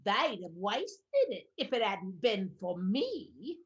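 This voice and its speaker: A woman's voice: medium pitch, sing-song, slow, muffled recording. A woman playing a brazen, gloating charwoman who scavenges, in a radio play. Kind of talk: stage-style radio play acting.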